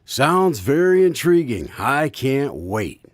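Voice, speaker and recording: A man's voice: mid-range voice, big pitch swings, slow. A man playing the eager, friendly host of a radio mystery drama, presenting in an old-time announcer style. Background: guitar music.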